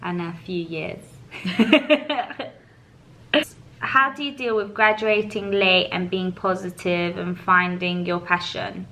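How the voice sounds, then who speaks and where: A woman's voice: on the low side, slow, bright, forceful tone, poor audio. A woman, chatting casually and laughing as she answers viewers' questions. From England. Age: 30s. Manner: chatty, laughs often, many fillers. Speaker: vlogger. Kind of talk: casual Q&A chat.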